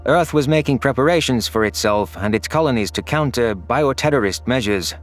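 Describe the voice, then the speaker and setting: A man's voice: medium pitch, animated, brisk. Man narrating science fiction over faint music. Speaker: audio story narrator. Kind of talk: scripted first-person science fiction narration. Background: faint background music.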